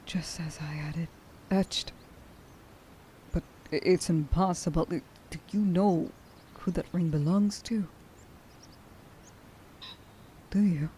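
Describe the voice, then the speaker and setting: A woman playing a stern, grieving huntress in a dramatic ASMR roleplay monologue, halting and intense in her delivery. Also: low voice, warm tone, a little hiss or hum. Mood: hushed, shocked. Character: stern, grieving young huntress who hates werewolves.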